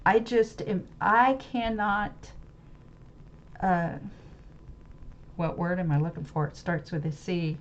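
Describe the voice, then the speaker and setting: Slow, rambling American woman, lo-fi. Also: on the low side, fairly even intonation. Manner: rambling, searches for words, many restarts and fillers. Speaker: webcam channel host. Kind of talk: unscripted musing monologue about a book. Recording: slightly dull lo-fi audio with faint ambience.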